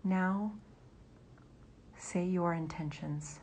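A woman leading a guided meditation in a soft, breathy near-whisper. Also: fairly low pitch, fairly even intonation, extremely slow, slight background noise.

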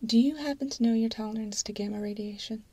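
A woman playing a mild, businesslike intake assessor in an ASMR role-play, in a soft breathy whisper with a methodical, slightly hesitant manner. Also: on the high side, fast talker, no room sound. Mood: calm, methodical. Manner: methodical, hesitant, matter-of-fact. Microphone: close microphone.

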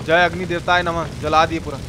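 A man giving excitable, repetitive, slangy live game commentary as a streamer. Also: a little high, a little fast. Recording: clear background noise.

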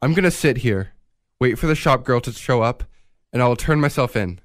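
A man's voice: medium pitch, expressive, fairly quick, no echo, lightly acted. A guilt-ridden male thief acted by a man in a radio play, with a mid-range voice and a dry sound. He is agitated, stubborn and defensive about what he has done. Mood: resolute. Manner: agitated, defiant. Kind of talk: radio drama acting.

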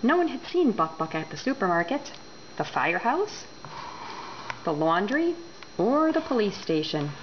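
A woman reading a children's book aloud indoors, expressive and deliberate. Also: mid-range voice. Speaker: storyteller. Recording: dull home recording with background noise.

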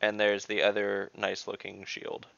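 Low, gravelly man gaming online. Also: monotone, moderate pace, a bit bright, dry recording. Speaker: tabletop game player. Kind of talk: casual game chat. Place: video call from home. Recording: dull, lo-fi call audio.

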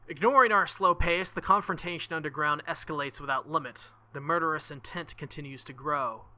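A man's voice: on the high side, brisk, bright, forceful tone. A man reading a visual novel aloud as a let's-play host, breaking into jokey, exclamatory asides.

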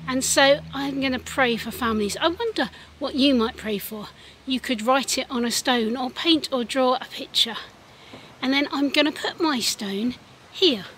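A woman's voice: high-pitched, normal speed, a bit bright, a little hiss or hum, rough recording. A middle-aged woman presenting informally to children outdoors, speaking to a camera at arm's length. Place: outdoors in a church car park.

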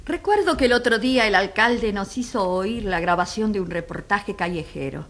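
An actress playing an earnest, impassioned advocate addressing a jury with emphatic rhetorical questions in a radio courtroom drama. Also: female voice, medium pitch, expressive, normal speed.

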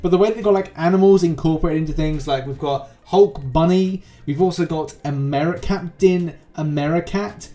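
Higher-pitched young man, casual video host. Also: normal speed, hardly any pauses, dark tone. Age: young adult. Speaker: YouTube host. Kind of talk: casual scripted explainer with ad-libbed commentary. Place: small room at home with green screen. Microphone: close desk microphone. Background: background music.

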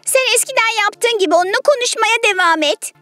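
Tiny, very high squeaky sparrow. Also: female voice, expressive, brisk, bright, forceful tone, faint music behind, full character voice. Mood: reassuring. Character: tiny helpful sparrow, reassuring friend. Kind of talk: children's storybook character voice.

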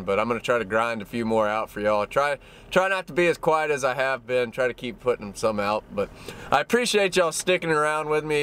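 A man's voice: mid-range voice, a bit bright, a little hiss or hum. A man, chatting easily to a dashboard camera from his parked car as a fishing vlogger, rambling and restarting. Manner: easygoing, rambling with restarts, says y'all. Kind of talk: casual chat to camera. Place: parked car cab, indoors.

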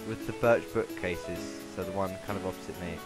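A young man chatting casually with friends as he plays a game, with a jokey, crude, excitable manner. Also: low-pitched, brisk. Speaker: gamer playing with friends. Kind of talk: casual gameplay banter. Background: music.

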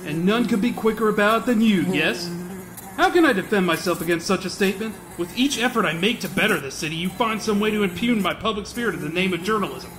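A man's voice: high-pitched, animated, fast talker, a bit bright, faint music behind, low-quality recording. A man playing a pompous, indignant mayor who orates like a grandstanding politician in a comic-book audio drama. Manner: oratorical.

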